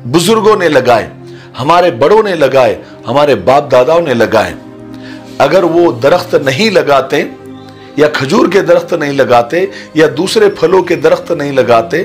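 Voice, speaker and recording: A hoarse-voiced man voicing a calm, wise elderly villager in a spoken tale, explaining patiently. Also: on the high side.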